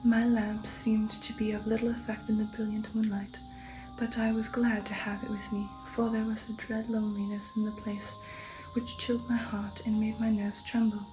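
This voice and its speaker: A woman giving an ASMR reading of a gothic novel in a soft, breathy whisper, slow, hushed and measured, close to the microphone. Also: mid-range voice, little pitch movement, over music, poor audio. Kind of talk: ASMR audiobook narration.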